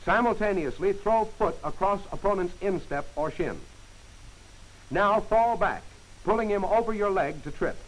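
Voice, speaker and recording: A man giving scripted instructional narration for a training film, in crisp, clipped commands. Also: sits high, expressive, normal speed, poor audio. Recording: hissy old film soundtrack.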